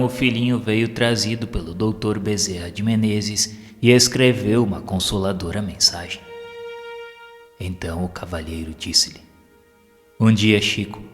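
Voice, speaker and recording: Low-voiced Brazilian male storyteller in heavy reverb. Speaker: narrator of a spiritist audio story. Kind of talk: scripted inspirational storytelling, audiobook narration. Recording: long reverb, music behind. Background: music.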